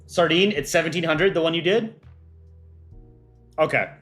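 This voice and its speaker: A man's voice: fairly high pitch, normal speed, fairly bright tone, soft background music. A man hosting an art contest on a livestream, reading out viewer chat and answering it offhand in casual talk.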